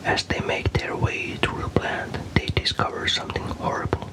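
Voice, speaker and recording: Softly whispering man, ASMR storyteller. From Finland. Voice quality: soft, breathy unvoiced whisper. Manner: calm, unhurried, careful storytelling. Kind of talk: ASMR whispered narration of a video game story.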